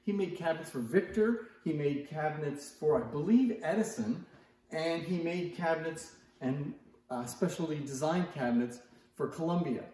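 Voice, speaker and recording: A man's voice: fairly high pitch, expressive, normal speed, dark tone. A man giving a casual, unscripted show-and-tell in a conversational way, musing aloud as he presents antiques. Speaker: presenter of antique phonograph demonstrations. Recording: very echoey, reverberant room.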